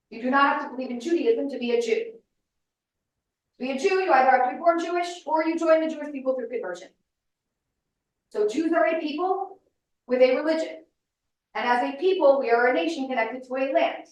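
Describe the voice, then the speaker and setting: A woman in her thirties speaks with firm, insistent emphasis as she lectures a large room. Her voice sits a little high. It rings through a very reverberant hall, picked up from some distance away. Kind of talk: public lecture. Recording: echoey hall, mic at a distance.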